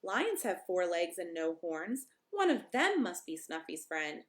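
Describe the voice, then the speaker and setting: A woman's voice: lowish voice, very animated, a little slow. A woman voicing a curious, cheerful male puppet pal from a storybook, making eager suggestions.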